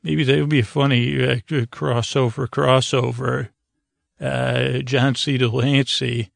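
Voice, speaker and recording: A man with a creaky, gravelly voice hosting a sleep podcast, delivering a hesitant, meandering bedtime monologue that trails off and circles back. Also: medium pitch, little pitch movement, slow, no room sound, clean studio sound. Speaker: podcast host.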